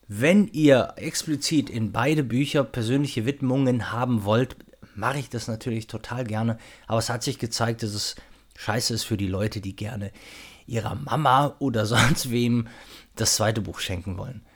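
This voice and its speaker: A man's voice: medium pitch, normal speed. Man, mid-range voice, podcast host, unscripted announcement, casual, chatty, hesitant, rambling.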